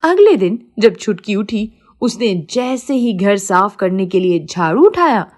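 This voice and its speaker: Female storyteller for kids' moral fables: slow, scripted read with wide, expressive pitch swings.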